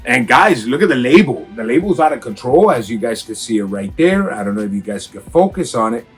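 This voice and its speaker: A raspy-voiced man with a cold, from Miami, hyping up a casual clothing unboxing for his viewers. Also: mid-range voice, sing-song, brisk, soft background music. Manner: hyped, slangy, talks straight to viewers. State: has a cold. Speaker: sneaker and clothing vlogger. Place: home room.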